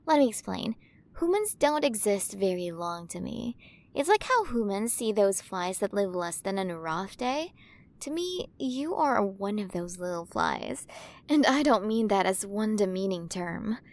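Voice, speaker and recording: A woman voicing an ancient alien queen in an ASMR roleplay, curious and playful, gently condescending and possessive toward her human. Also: mid-range voice, very animated, unhurried. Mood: gently explaining. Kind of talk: ASMR roleplay, acted monologue to the listener.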